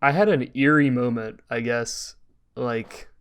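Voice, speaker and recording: A young man with an American accent talks casually on a podcast. His voice is clean and resonant at a middling pitch. He tells things in a loose, rambling, conversational way. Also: steady tone, a little slow, fairly bright tone, clear, resonant tone. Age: young adult. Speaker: podcast co-host.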